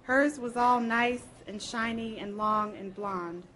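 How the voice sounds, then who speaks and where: A woman giving a dramatic first-person literary reading aloud, with an expressive, theatrical delivery. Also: on the high side, takes it slowly. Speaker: reader at a library program.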